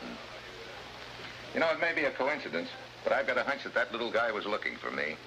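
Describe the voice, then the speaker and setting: An actor playing a tough, wisecracking American special agent, terse and sardonic, in 1950s television adventure style. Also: male voice, on the low side, huge pitch swings, fast. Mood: defiant, dry. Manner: hard-boiled, terse, sardonic. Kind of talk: early 1950s TV adventure acting. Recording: noisy old 1950s television soundtrack.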